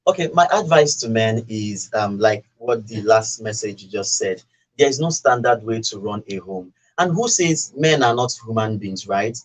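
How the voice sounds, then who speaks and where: A man's voice: fairly low pitch, lively intonation, normal speed, rough recording. A man with a Nigerian accent speaking as a studio guest in a conversational radio discussion, earnest and emphatic. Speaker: studio guest giving advice.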